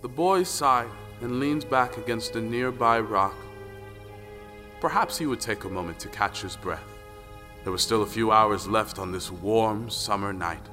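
A man's voice: on the low side, measured pace, sharp tone. A man narrating a folktale audio play as a dramatic storyteller. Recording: long reverb, music underneath.

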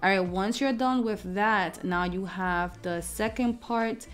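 A woman with a medium-pitched voice hosts a screen-recorded craft tutorial. She explains things step by step in a casual, informal way. Music plays behind her voice. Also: measured pace.

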